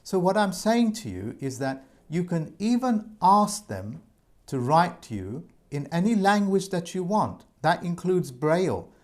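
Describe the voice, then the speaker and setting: A man's voice: high-pitched, very animated, measured pace. A man giving viewers earnest, repetitive advice in an informal monologue, addressing them as folks. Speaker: presenter giving debt advice.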